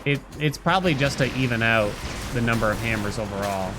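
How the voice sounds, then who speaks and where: A man's voice: mid-range voice, brisk, very noisy. A man livestreaming a video game, musing aloud and chatting casually with his viewers in a conversational way. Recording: game music behind the voice.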